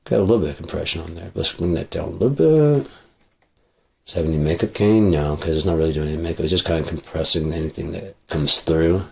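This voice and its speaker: A man's voice: low-pitched, fast, creaky, warm tone, husky. A man talking casually on a livestream, rambling and swearing freely, into a processed studio microphone.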